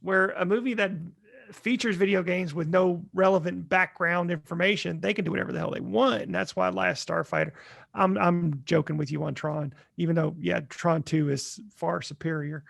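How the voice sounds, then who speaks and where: Creaky, higher-pitched man chatting casually. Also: fairly even intonation, moderate pace, a bit bright, no echo, clean studio sound. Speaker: podcast co-host. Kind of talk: casual group banter about films and games.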